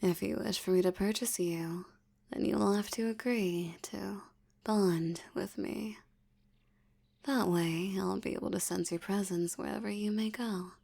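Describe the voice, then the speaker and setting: Female vampire elder, acted by a woman, audio roleplay: seductive, menacing, languid delivery, creaky mid-pitched voice sinking into breathiness. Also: fairly even intonation, mellow. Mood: calculating, possessive. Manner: slow, teasing, menacing, with lingering pauses. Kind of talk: intimate scripted audio roleplay.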